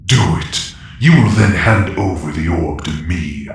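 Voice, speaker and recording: Gravelly, echoing space warlord. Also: male voice, animated, unhurried, exaggerated voice. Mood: cold, demanding. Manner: commanding, threatening. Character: imperious male galactic warlord, power-hungry and menacing. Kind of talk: audio drama acting. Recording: heavy echo, faint rumbling ambience.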